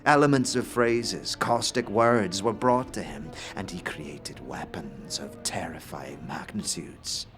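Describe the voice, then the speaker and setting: A man voicing a wild-eyed, eccentric, middle-aged inventor in a fantasy audio drama, speaking grandly and cryptically with theatrical pauses. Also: deep voice, a bit bright, faint music behind. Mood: boastful, intense.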